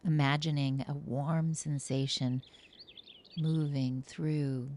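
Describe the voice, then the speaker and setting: A woman meditation teacher speaks in a dark, mellow voice at a very slow pace. Her delivery is soothing, gentle and hushed, guiding the listener into relaxation. Some noise with faint birdsong sits behind her. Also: low voice, level tone.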